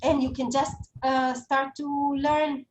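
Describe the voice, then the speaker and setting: A woman with a Hebrew accent lectures in English, her second language, in a fairly high voice. She talks in a hesitant way, full of fillers and restarts. The webinar recording carries a clear layer of background noise. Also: level tone, slow pace.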